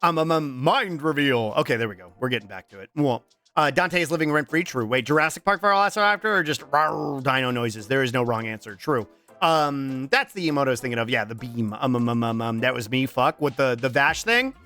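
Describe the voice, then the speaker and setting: A man in his thirties streaming a game, chatting casually and joking with viewers, his voice strained and cracking from allergies, with long strings of drawn-out ums and plenty of swearing. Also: medium pitch, pushed and bright, soft background music. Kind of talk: casual chat reading out viewer messages.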